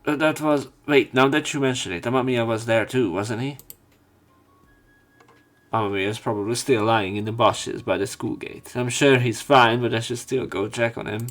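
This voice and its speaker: A man narrating a visual novel playthrough, reading story text and dialogue aloud with some halting pauses. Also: mid-range voice, steady tone, a bit bright. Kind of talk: scripted narration and character line reading. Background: game music, keyboard typing.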